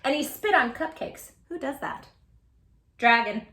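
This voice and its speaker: A woman hosting a children's read-aloud, speaking in an animated, emphatic way straight to small children. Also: high voice, measured pace. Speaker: children's storytime host and tutor. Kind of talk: children's read-aloud and chat to young viewers.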